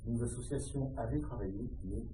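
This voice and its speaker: A man's voice: medium pitch, moderate pace, dark tone. A French man giving a formal public address to residents as a local official. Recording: distant, muffled, echoey room with faint noise.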